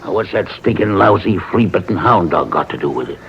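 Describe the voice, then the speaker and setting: An actor playing a shifty, blustering American swindler in a radio crime drama, talking in rough slang and stammering when pressed. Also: male voice, sits low, animated, a little fast. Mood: surly, defensive. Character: crooked, blustering male swindler, cornered and shifty. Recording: muffled, noisy old radio broadcast.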